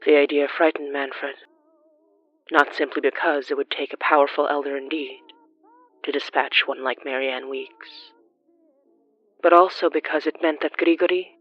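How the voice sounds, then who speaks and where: Medium-pitched narrator, formal horror storytelling, measured delivery, dry close sound, faint music underneath. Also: dry recording, lo-fi sound. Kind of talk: scripted horror fiction narration.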